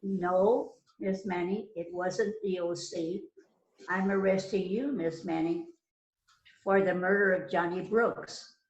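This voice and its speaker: Slow, deliberate detective read by a woman in echoey room. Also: lowish voice, steady tone. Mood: self-assured. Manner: halting, deliberate script reading with stumbles. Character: police detective, probing, self-assured, methodical. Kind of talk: amateur readers theater, read from script. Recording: roomy echo, dull muffled sound, noisy.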